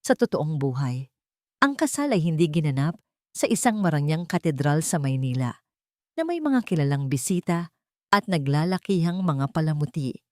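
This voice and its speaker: A woman narrating a romantic audio drama in a steady, scripted storytelling voice. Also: low voice, normal speed, no room sound, clean studio sound.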